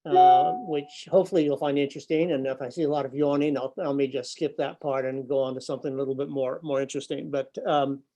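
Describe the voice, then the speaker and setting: Halting older man on a video call. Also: mid-range voice, little pitch movement, mellow, low-quality recording. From grew up overseas. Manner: hesitant, many fillers and repeated words. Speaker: environmental nonprofit director and speaker. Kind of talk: informal talk to a business audience. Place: office room with a window. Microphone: laptop webcam, video call.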